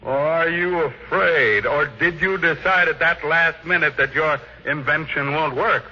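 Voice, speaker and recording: Cold, ruthless tycoon, vintage radio drama. Very sharp, forceful, commanding voice on a lo-fi recording. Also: male voice, fairly high pitch, a little slow, full character voice. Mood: scornful, impatient. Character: ruthless, imperious millionaire executive, older man. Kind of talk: 1950s radio drama acting. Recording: lo-fi old radio broadcast.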